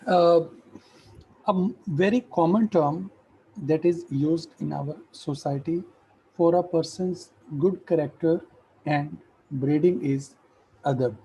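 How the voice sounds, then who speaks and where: A middle-aged man, an Urdu speaker, giving an academic lecture in a slow, measured way with hesitant fillers. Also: fairly high pitch, warm tone, slight background noise, low-quality recording.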